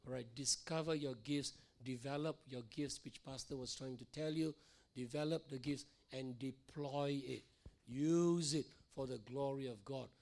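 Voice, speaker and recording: Male pastor, middle-aged, hoarse and gravelly, repetitive teaching sermon in church. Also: medium pitch. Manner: repeats phrases, frequent "all right?" and "okay?" checks. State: hoarse, losing his voice.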